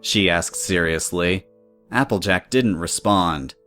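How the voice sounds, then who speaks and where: A man's voice: sits low, slow, bright, forceful tone, soft background music. A man reading scripted story narration in the third person.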